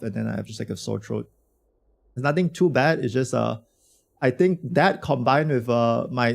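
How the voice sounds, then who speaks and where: Dude streamer losing his voice, raspy n croaky, yapping slangy to chat, mid pitch. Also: darkish tone. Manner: slangy, rambling, talks to chat. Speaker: game streamer. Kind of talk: casual livestream chat reacting to a game.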